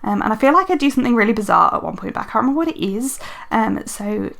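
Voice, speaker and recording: Woman, croaky, gravelly, chatty and rambling, lots of fillers. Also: a little high, expressive, quick pace, fairly bright tone. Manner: chatty, rambling, many fillers and self-corrections. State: slightly croaky, mild sore throat. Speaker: planner and book vlogger. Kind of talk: casual chat while decorating a planner.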